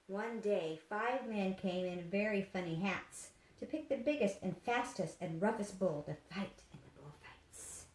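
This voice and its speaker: A woman reading a children's story aloud in an expressive, simple storytelling manner, as if to young listeners. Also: medium pitch, measured pace, hardly any pauses. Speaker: therapy dog handler and volunteer reader. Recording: echoey room with faint background noise.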